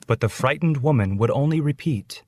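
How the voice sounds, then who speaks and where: A man's voice: medium pitch, expressive, a little fast, darkish tone, dry recording. A man reading a scripted children's story as its narrator.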